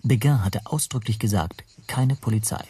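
A man's voice: on the low side, moderate pace, warm tone, slightly rough. An actor playing a troubled Hungarian language teacher, narrating his story with restraint in a radio play. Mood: troubled, reflective.

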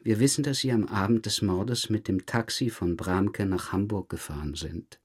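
An actor playing a patient, probing police detective in a crime drama, controlled and deliberate in his questioning. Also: on the low side, husky. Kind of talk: German crime radio drama dialogue.

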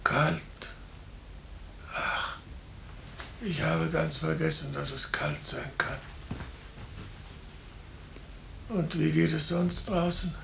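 An actor playing an anxious, resigned hospital patient in a 1950s radio drama, speaking in a hushed, halting, gravelly voice. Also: male voice, on the high side, fairly even intonation, full character voice. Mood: wistful, brooding. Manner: halting, brooding. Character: seriously ill male hospital patient, anxious, resigned, bitter. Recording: echoey, faintly noisy old radio recording.

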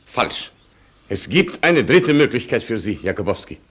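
A man's voice: on the low side, big pitch swings, normal speed, a bit bright. A man playing a proud, passionate Polish colonel with a grandiloquent, declamatory manner in a German radio play. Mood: stern, fervent. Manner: pompous, declamatory.

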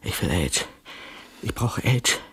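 An actor playing a weak, frightened addict begging in withdrawal in a radio drama. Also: male voice, slow, a bit bright. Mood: fearful craving. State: weak, desperate. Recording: roomy, distant from the mic.

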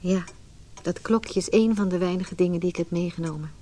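A woman's voice: on the low side, fairly quick, warm tone, slight background noise, natural voice. A woman playing a resolute but unhappy wife in a radio drama, speaking with restraint. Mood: reflective. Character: resolute, unhappy wife leaving her marriage. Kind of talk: radio drama acting, dialogue and reflective monologue.

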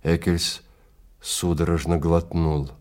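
A man narrating literary fiction in a theatrical, measured manner with deliberate phrasing. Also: low-pitched, flat delivery, a little mellow.

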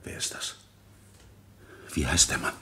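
A man playing an agitated, haunted secretary in an old German radio crime play, speaking in a halting, evasive way. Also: pushed and bright, slight background noise. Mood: shaken, agitated. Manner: halting, evasive, breaking off and repeating himself. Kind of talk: German radio crime play acting from the mid-20th century.

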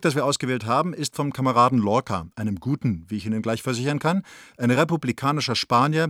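A man's voice: medium pitch, dry recording, studio quality, close to an everyday voice. An actor playing an ironic, fed-up young theatre man who reads his letters aloud in a radio play, wry and insistent.

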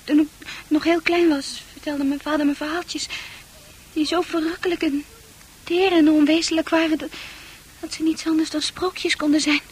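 Casting: woman to play dreamy, troubled young female confidante for Dutch radio drama, high-pitched, poetic and earnest. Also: little pitch movement, moderate pace, natural voice. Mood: wistful, earnest.

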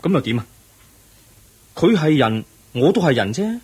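An actor playing an earnest young crown prince in a Cantonese radio drama, speaking in a solemn, formal and measured manner. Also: male voice, on the high side, big pitch swings, darkish tone, husky, exaggerated voice. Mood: yearning, resolute.

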